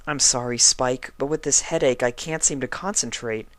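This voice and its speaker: A man voicing a caring female unicorn pony who is sick in bed, gentle and concerned, in a storybook reading. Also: medium pitch, normal speed, no room sound, voice pushed up, lightly acted. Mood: apologetic, caring.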